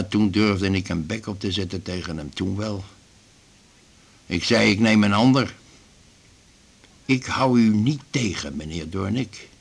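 A man's voice: fairly low pitch, gravelly, breathy edge, full character voice. An actor playing an aggrieved, garrulous retired glue salesman in his fifties in a radio drama, rambling and grumbling. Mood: indignant. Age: 50s. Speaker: patient in a doctor's office. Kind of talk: naturalistic radio drama acting.

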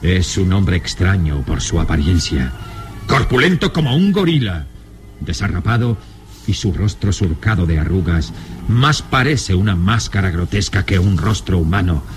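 A man's voice: low-pitched, sing-song, moderate pace, soft background music. A man narrating a radio serial in a suspenseful, theatrical style.

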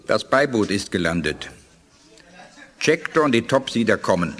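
Lowish, expressive, sceptical alien elder. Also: male voice, normal speed. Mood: warning. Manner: admonishing, doubtful, formal. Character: sceptical, learned male humanoid alien elder. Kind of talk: German science fiction radio drama acting.

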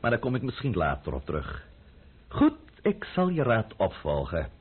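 A middle-aged French count in a radio drama, imperious and blustering. The voice is very rough and husky and swings widely in pitch as he lectures with sardonic impatience. The old recording is muffled and narrow. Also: huge pitch swings, normal speed. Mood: commanding, wry. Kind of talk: radio play acting.

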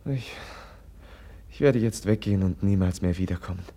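An actor playing a gentle, compassionate young man in a 1950s German radio drama, speaking earnestly and softly, as if pleading. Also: deep voice, moderate pace. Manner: earnest, soft-spoken and pleading.

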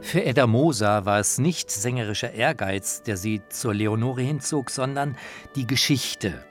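A man's voice: mid-range voice, measured pace, music in the background. A man reading scripted documentary narration as its author.

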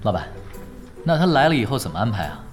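An actor playing a laid-back young male pro gamer in a radio drama, talking in an unhurried, nonchalant, teasing way. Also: mid-range voice, very animated, over music, close to an everyday voice. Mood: wry, amused. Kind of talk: Chinese radio drama acting.

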